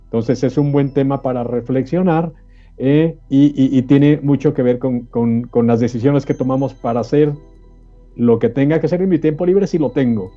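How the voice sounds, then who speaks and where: A man's voice: mid-range voice, normal speed, dark tone. Man hosting over music, dull audio. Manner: rhetorical questions to the listener. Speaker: host. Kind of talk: panel discussion on business.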